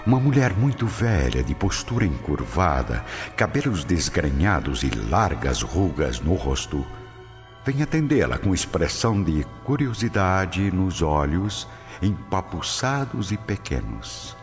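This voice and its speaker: A male Brazilian narrator of a radio drama with a very deep voice and sweeping intonation. He reads solemnly and formally, with long reverb on the recording. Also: very animated, a little slow. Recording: dull audio, long reverb, music behind.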